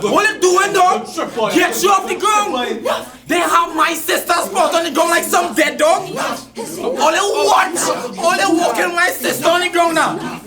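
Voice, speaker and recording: A man playing a young man with a Trinidadian accent who shouts in frantic distress over his sister. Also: extremely high pitch, fairly even intonation, a little slow. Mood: distraught. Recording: music and crowd behind.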